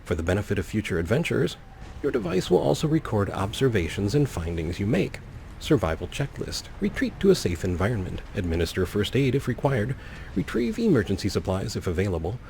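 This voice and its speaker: A man's voice: fairly low pitch, sing-song, fairly quick, gravelly, a little mellow, a little hiss or hum. A man hosting a let's play, commentating and reading game text aloud with wry, playful asides. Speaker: gaming video host.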